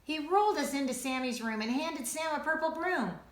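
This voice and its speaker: Animated woman reading a children's story. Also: high voice, brisk, slight background noise. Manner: rhythmic, sing-song delivery of rhymes. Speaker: storytelling teacher. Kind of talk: expressive children's read-aloud. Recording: roomy, echoing indoor sound.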